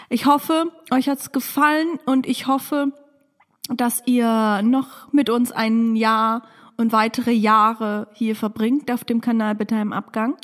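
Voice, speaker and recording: Chatty female podcast host in long reverb. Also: fairly high pitch. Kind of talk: casual podcast chat. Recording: long reverberant hall sound.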